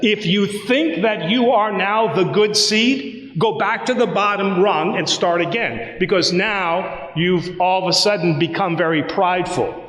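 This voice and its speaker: A man preaching a sermon as a priest, telling a personal story in a lively, conversational way and acting out the dialogue. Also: high voice, unhurried. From United States. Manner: animated, conversational, acts out dialogue. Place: church sanctuary. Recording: roomy church with long reverb.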